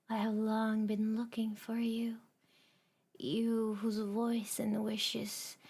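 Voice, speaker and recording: A woman voicing the Star Forger, a stern cosmic maker who speaks in a measured, cold, unhurried way. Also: fairly high pitch, a little mellow, slightly rough, exaggerated voice. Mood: solemn.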